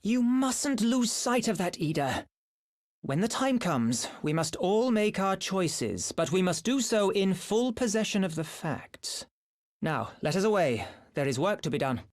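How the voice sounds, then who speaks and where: A woman's voice: mid-range voice, sing-song, darkish tone. An actress playing a grave, commanding female mentor in a video game, speaking formally. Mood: grave, urging. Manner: formal, admonishing, deliberate phrasing. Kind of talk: video game cutscene acting.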